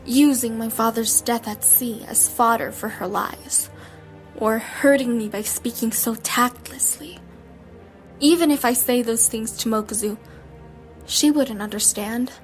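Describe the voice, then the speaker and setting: A woman's voice: fairly high pitch, unhurried, soft background music, close to an everyday voice, poor audio. A woman playing a gloomy, guarded schoolgirl in an audio drama, restrained and earnest as she narrates and speaks. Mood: hurt.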